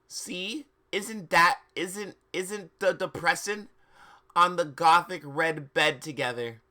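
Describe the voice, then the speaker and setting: Livestream host with a bright, forceful voice, theatrical and mocking, reading fan fiction and commenting casually. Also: mid-range voice, slow pace. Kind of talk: comic dramatic reading with casual asides.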